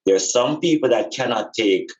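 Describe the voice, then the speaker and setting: A man giving an informal, explanatory spiritual talk in a deliberate, emphatic way, repeating phrases for stress. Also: mid-range voice, darkish tone, highs cut off. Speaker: podcast speaker and spiritual teacher.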